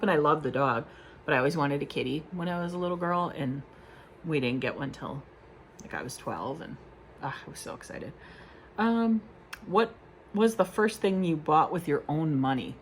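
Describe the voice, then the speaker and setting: A woman's voice: low voice, slight background noise. A woman vlogging casually at home, rambling through questions with lots of fillers and self-deprecating asides. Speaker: beauty vlogger. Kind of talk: casual chat to camera.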